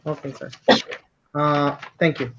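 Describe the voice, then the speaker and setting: Polite high-voiced examiner. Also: male voice, level tone, a bit bright, a little hiss or hum. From Colombia. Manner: polite, measured, repeats instructions. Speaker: English exam interviewer. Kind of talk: structured spoken exam interview. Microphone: video call. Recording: dull lo-fi call audio.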